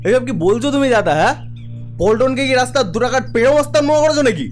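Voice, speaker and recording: A man playing a cocky, impatient young street thug in an animated horror cartoon. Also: very high-pitched, quick pace, faint music behind. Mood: boastful, irritated. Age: young adult. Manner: swaggering, impatient.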